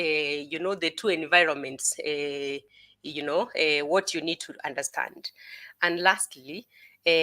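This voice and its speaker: A young woman talks through an exam as a tutor, her voice bright and forward, sitting a little low for a woman. She thinks aloud as she goes, filling pauses with "uh" and "you know", restarting phrases, and checking in with "okay?". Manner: frequent "uh" and "you know" fillers, repeats words, checks with "okay?". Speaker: IELTS tutor. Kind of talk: unscripted teaching explanation.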